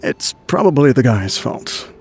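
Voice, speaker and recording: A man's voice: mid-range voice, sing-song, a little slow, a little mellow. Roguish, boastful aging desert man. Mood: wistful teasing. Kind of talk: video game letter voice-over acting.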